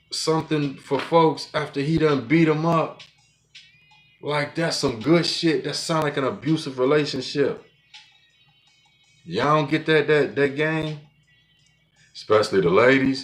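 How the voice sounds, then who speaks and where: A man in his forties talking alone on his podcast in a blunt, profane, rambling way, often restarting his sentences. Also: fairly high pitch. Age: 40s. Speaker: podcast host. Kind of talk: casual monologue.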